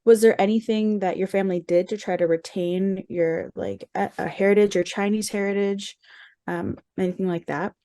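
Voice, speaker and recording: A young adult woman interviewing an alum over a video call, asking questions haltingly with frequent fillers. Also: medium pitch, steady tone, warm tone, no room sound.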